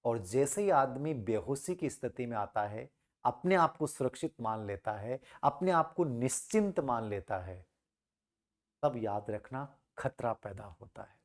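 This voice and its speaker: A man teaches through a moral tale with a very lively, swinging pitch. He speaks emphatically, repeats key phrases and puts rhetorical questions to his listeners. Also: unhurried. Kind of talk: moral storytelling to students.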